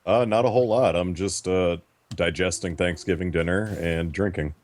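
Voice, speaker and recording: A man's voice: low-pitched, normal speed, darkish tone. A man calling in to a show and chatting casually. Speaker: caller. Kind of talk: casual chat. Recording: noisy voice-chat line with clicks.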